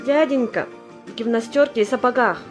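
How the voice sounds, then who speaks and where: A woman's voice: a little high, normal speed, close to an everyday voice. An actress playing a naive, earnest seventeen-year-old girl who volunteers for war, in a theatrical audio drama. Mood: eager, naive. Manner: earnest, stubbornly keen. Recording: music under the voice.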